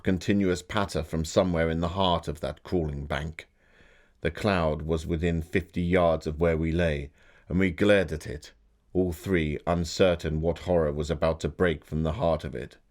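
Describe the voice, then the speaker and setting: Victorian gentleman author, low-pitched, theatrical scripted storytelling, formal and dramatic. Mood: tense, hushed. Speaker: voice actor.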